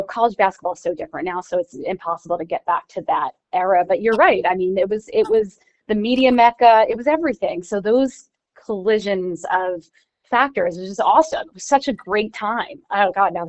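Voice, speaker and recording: The audio is a little dull and lo-fi. A woman with a medium-pitched voice talks as an interview guest. She is informal and candid, working out her opinions as she speaks. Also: darkish tone. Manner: candid, informal, thinks aloud. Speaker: newspaper columnist, interview guest. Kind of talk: casual conversational interview.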